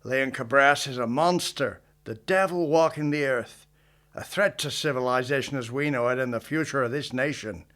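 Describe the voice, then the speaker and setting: A scheming Texas Ranger from a western radio drama, acted by a man. He is smug and self-important, with a falsely genial manner that carries menace. His voice sits at a medium pitch. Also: normal speed, fairly bright tone, close to an everyday voice. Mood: grandiose, ominous. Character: sly, scheming Texas Ranger with delusions of grandeur.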